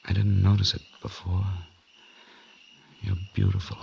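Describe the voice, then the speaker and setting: An actor playing a tense young man on the run in a 1940s radio noir drama, with urgent, halting delivery. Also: low voice, monotone, creaky, full character voice. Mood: tender. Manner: urgent, halting under stress. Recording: dull, hissy vintage radio recording.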